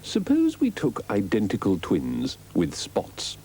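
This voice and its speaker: Slow, swooping man, droll. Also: lowish voice, wildly swooping pitch, darkish tone, husky. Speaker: advert voice-over. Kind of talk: comic scripted advert voice-over. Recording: noisy old TV advert.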